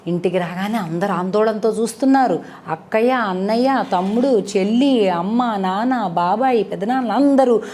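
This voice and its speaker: A woman tells a moral story as a devotional storyteller, in an expressive, conversational way with rhetorical questions. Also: medium pitch, moderate pace, slight background noise. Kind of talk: animated moral storytelling to an audience.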